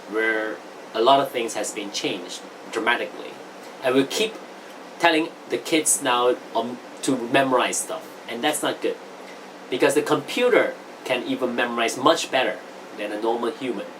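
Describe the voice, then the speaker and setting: Guy explaining stuff like a teacher, really up and down intonation, halting emphatic phrases, slight background hum. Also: mid-range voice, fairly bright tone, low-quality recording. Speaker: presenter of a self-improvement lesson. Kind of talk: informal explanatory teaching monologue.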